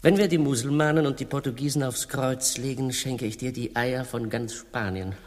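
A man's voice: mid-range voice, normal speed, lightly acted. A man playing a capricious, greedy Spanish monarch who haggles slyly in a satirical radio drama. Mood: sly, intrigued. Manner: bargaining, sprinkles Spanish phrases. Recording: long hall reverb.

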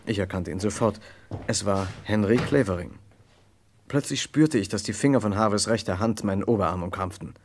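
A man's voice: on the low side, brisk. A man playing an earnest, inquisitive lawyer in an old German radio crime play, with a precise, formal delivery. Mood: measured narration. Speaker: narrator and lead character. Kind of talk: German radio crime play acting from the mid-20th century.

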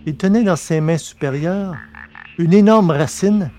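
A man reading scripted narration for a science-fiction audio serial in a measured, literary way. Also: a little high, warm tone, soft background music.

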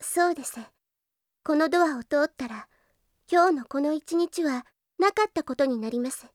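A woman's voice: sits high, moderate pace, no echo, clean studio sound, natural voice. A woman playing a demure, devoted, very polite young heroine in a Japanese anime audio drama, speaking hesitantly. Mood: calm, polite. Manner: very polite, hesitant, apologetic speech.

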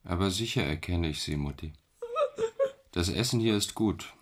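An actor playing a detached middle-aged man confined in an asylum, calm, matter-of-fact and quietly ironic in a radio drama. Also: deep voice, moderate pace. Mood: detached, wry.

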